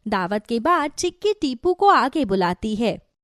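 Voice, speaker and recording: Animated woman narrator, fairly high voice. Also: measured pace, over a noisy crowd. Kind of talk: scripted children's story narration.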